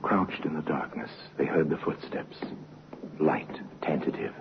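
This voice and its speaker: Deep booming male narrator, grave, suspenseful, hissy muffled old radio recording. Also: measured pace. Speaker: host and narrator. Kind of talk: scripted dramatic narration.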